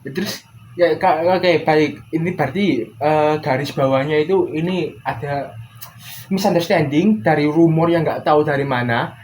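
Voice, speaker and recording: A man's voice: on the high side, moderate pace, darkish tone, poor audio. A young adult man, counselling in a casual conversation and probing informally with questions. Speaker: counsellor in a student practice session. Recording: clear background noise, room ambience.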